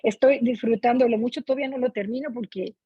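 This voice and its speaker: High, husky-voiced Mexican woman. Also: fast talker. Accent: Mexican Spanish. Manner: chatty, expressive, often seeking agreement with tag questions. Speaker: reading club participant. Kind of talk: casual spoken book commentary. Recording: muffled, echoey online meeting audio.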